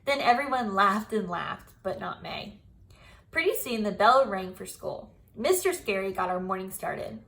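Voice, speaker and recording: A woman teacher giving an expressive storybook read-aloud, speaking directly to young pupils. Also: medium pitch, unhurried, creaky. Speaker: primary school teacher.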